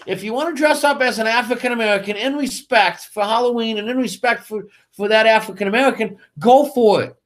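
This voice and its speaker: A man's voice: very high-pitched, fairly quick, hardly any pauses, fairly bright tone. A man co-hosting a casual political talk show, arguing emphatically with many restarts. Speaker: co-host.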